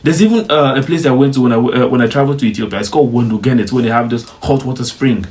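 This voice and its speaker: A man's voice: mid-range voice, racing, a little mellow. Young adult man from Africa, mid-range voice; emphatic, chatty vlog reaction, peppered with slang. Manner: emphatic, repeats "bro" and "you get me". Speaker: vlogger reacting to footage. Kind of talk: casual reaction commentary.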